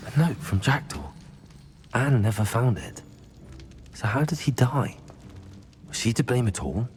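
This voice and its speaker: A man playing a composed, inquisitive young wizard student in a video game, speaking in a measured way. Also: mid-range voice, animated, slight background noise, close to an everyday voice. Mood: quiet musing.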